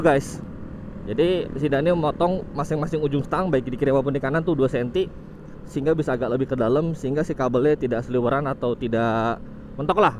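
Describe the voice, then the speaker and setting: A young man, giving casual motovlog commentary while riding, relaxed and informal, often saying "guys". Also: fairly high pitch, slight background noise. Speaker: motovlog host. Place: on a motorcycle on a city road outdoors.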